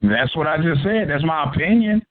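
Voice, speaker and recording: Blunt, combative call-in host on a phone line. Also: male voice, a little high, expressive, moderate pace, dry recording. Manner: blunt, profane and confrontational. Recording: tinny phone-line audio.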